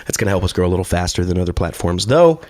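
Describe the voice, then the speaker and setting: Man, podcast co-host, slightly hoarse, lowish voice, easygoing chat. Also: sing-song, rapid-fire, darkish tone. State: losing his voice. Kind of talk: casual chat.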